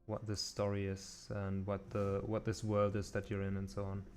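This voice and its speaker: A man's voice: lowish voice, monotone, normal speed, a little mellow, clean tone. A man streaming game development, chatting casually and thinking aloud in loose, informal phrasing. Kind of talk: casual chat while working. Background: music behind the voice.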